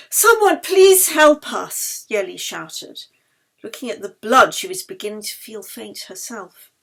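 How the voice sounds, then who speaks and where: A woman narrating a novel as its author, in an expressive, dramatic storytelling style. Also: medium pitch, slightly rough. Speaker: author reading her own novel.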